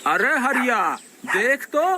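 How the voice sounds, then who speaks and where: A man's voice: extremely high pitch, big pitch swings, slow, background noise, exaggerated voice. A man voicing a scheming, greedy village dog thief in a Hindi moral-story cartoon. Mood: curious, scheming.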